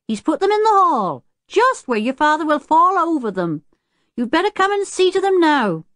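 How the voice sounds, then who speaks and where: A woman's voice: very high voice, big pitch swings, moderate pace, a bit bright, no echo, studio quality, voice pushed up, exaggerated voice. A woman voicing a bossy, motherly housekeeper who fusses and scolds. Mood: calling. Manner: fussing, scolding.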